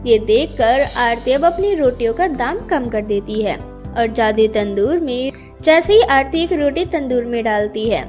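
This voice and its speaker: High-pitched woman narrating a children's story. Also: a little mellow. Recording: music behind the voice.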